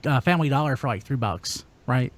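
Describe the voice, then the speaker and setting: A man's voice: medium pitch, animated, a little fast, no room sound. A man hosting a podcast in a casual, conversational way. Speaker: podcast host.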